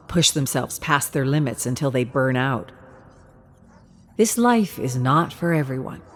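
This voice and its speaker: A woman's voice: sits low, full character voice. A woman voicing an earnest young female team captain, persuasive in manner, in a narrated game story.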